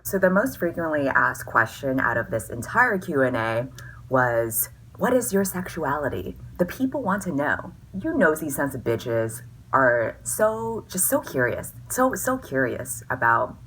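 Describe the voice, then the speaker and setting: A woman's voice: a bass voice, very animated, a little slow, fairly bright tone, slight background noise, poor audio. Tipsy, sarcastic woman in her twenties. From US West Coast. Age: 20s. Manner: sarcastic, swearing, mock-dramatic. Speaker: vlogger. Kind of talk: casual tipsy Q&A chat.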